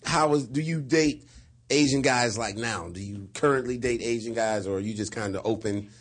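A man's voice: mid-range voice, normal speed. Blunt, joking male co-host. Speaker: talk show co-host. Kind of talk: casual, slangy banter.

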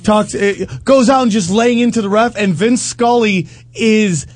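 A man's voice: high-pitched, unhurried. A man co-hosting a comedy podcast, bantering casually in slang with crude jokes. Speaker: podcast co-host.

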